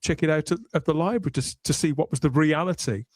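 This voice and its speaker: A man hosting a podcast panel discussion, talking in a measured, thoughtful way. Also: on the high side, no echo, studio quality. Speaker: podcast host. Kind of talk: panel discussion of a sci-fi series.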